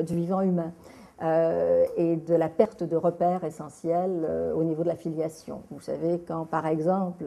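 A middle-aged woman, a documentary filmmaker answering an interview, pausing often on filler hesitations. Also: on the low side, vocal fry, mellow. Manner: halting, many filler hesitations.

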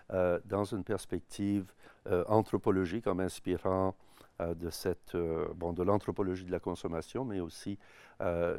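Male academic, middle-aged, very low smooth voice, halting with frequent fillers, dry close sound. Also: darkish tone, no room sound. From Canada. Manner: hesitant, many "euh" fillers, measured. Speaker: history and ethnology professor. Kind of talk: interview, career account.

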